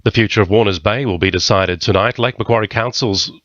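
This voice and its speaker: A man with an Australian accent reads a scripted news bulletin in a fairly low voice. The sound is dry and slightly dull, as on a modest radio recording. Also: fairly quick, fairly bright tone, no room sound.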